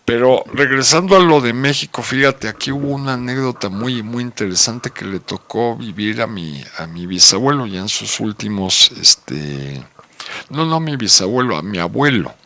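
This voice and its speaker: A man's voice: medium pitch, animated, normal speed, sharp tone. A middle-aged man with a Mexican accent telling anecdotes in a casual radio chat, with colloquial asides. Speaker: radio guest.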